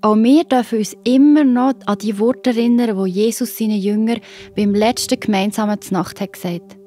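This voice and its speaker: Children's audio play narrator: woman, Swiss German accent, soft mellow tone, scripted storytelling over music. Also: a little high, steady tone, normal speed.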